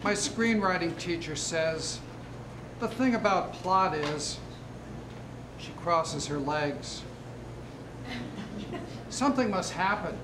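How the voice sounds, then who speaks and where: A man with a high voice reads poetry aloud at a slow pace, pausing deliberately between lines. The sound is roomy and echoey, with noticeable background noise. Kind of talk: poetry reading.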